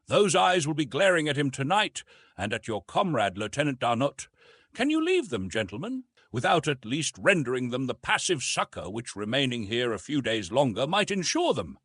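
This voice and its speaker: A man voicing an earnest, soft-hearted young woman in an audiobook, with a hushed, pleading, formal delivery. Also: mid-range voice, sing-song, moderate pace, slightly rough, dry recording, clean studio sound, voice pushed up. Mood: awed, pleading. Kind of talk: dramatised audiobook reading.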